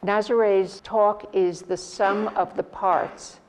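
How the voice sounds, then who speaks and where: A woman hosting an art salon, giving a measured, formal introduction of the evening's speaker. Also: medium pitch, steady tone, darkish tone, a little hiss or hum. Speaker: host of art salon talks.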